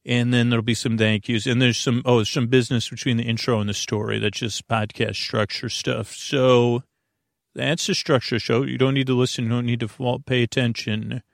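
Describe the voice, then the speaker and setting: Man, creaky fry, dry sound, bedtime podcast host, rambling, halting, folksy, digressive. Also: medium pitch, flat delivery, no room sound. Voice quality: creaky, gravelly.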